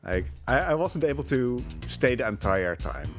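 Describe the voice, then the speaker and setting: A man giving casual live speedrun commentary in a halting, rambling, amused way. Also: medium pitch, big pitch swings. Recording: game music behind the voice. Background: game music.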